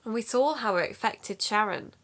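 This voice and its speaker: A woman's voice: on the high side, normal speed, fairly bright tone, natural voice. An actress in an audio drama playing an earnest, matter-of-fact woman who hosts an investigative podcast. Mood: thoughtful.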